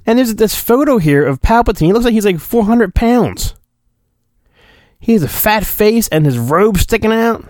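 A man's voice: sits high, lively intonation, moderate pace, a little mellow, no echo, clean studio sound. A man hosting a podcast, giving a hammy, irreverent storybook reading with joking asides. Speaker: podcast host.

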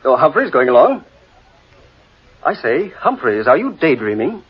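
A curious, genteel gentleman adventurer in a vintage radio play. His voice is mid-pitched and swoops with lively theatrical inflection, on a muffled old recording. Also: measured pace, slightly rough, exaggerated voice. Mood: breezy, curious. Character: Crane, curious, breezy English gentleman adventurer. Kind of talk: 1940s radio horror acting.

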